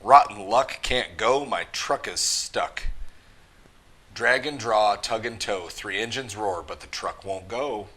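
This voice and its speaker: Low-ish guy reading a kids picture book out loud, punchy singsong rhythm on the rhymes. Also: takes it slowly, slightly rough. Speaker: school mascot reading a picture book to children. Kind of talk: animated children's story read-aloud.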